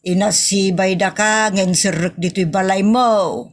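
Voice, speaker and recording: A woman's voice: medium pitch, steady tone, unhurried, natural voice. A woman with an Ilocano accent playing an exasperated, scolding woman of the household in a comedy audio drama, full of exclamations.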